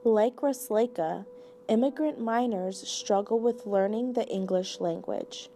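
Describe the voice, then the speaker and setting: A woman reading scripted narration for a student documentary in an even voice. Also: medium pitch, measured pace, soft background music.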